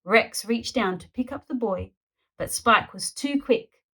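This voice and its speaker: A woman narrates a children's story as a read-aloud host. Her voice sits a little high, and she reads very slowly in an animated storytelling style. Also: husky.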